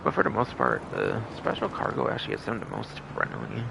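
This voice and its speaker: A man with a gravelly voice doing rambling livestream commentary on a video game, repeating his phrases over and over. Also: fairly low pitch, huge pitch swings, fast talker. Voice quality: gravelly, creaky voice. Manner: frequent throat clearing. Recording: noticeable background noise.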